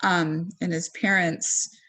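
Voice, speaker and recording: A woman's voice: fairly low pitch, flat delivery, slow pace, pushed and bright, rough recording. A woman talking on a discussion panel as a parent, casual and conversational, with many ums and a voice that trails off at the ends of thoughts.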